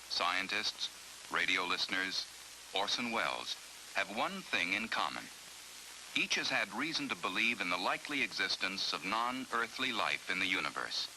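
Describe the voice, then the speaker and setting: A formal male announcer reads documentary narration in a lowish voice with a very sharp, forceful edge. There is a faint hiss on the track. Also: low-quality recording.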